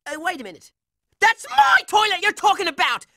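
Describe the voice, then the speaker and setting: Hot-headed little cartoon kid, super high sharp voice, exasperated and loud-mouthed. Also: male voice, huge pitch swings, a little fast, dry recording, studio quality, full character voice. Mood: indignant. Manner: belligerent, exclamatory, exasperated. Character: brash, hot-headed young boy fighter from a cartoon. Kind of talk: cartoon video game voice acting.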